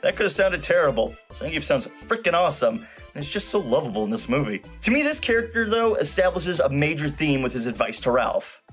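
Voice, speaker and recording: Male reviewer, slightly high voice, emphatic and informal scripted commentary, music bed underneath. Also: very animated, fairly quick, fairly bright tone. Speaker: video reviewer and host. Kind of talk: opinionated scripted review narration. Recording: music playing behind the voice. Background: background music.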